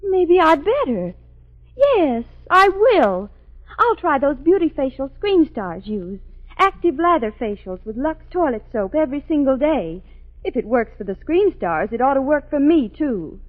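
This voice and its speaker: A pert, girlish young lady with a very high, animated voice and a defensive streak. She speaks in 1940s commercial skit style. The sound is dull, vintage radio. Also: a little slow. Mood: won over, eager. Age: young adult.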